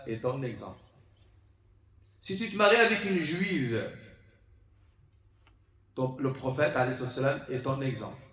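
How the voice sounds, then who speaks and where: A man's voice: medium pitch, a bit bright. Guy preaching in a mosque, really echoey, bit far from the mic, emphatic and repeats stuff. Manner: emphatic, rhetorical questions and deliberate repetition. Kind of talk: sermon in a mosque, teaching. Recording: roomy echo, distant microphone.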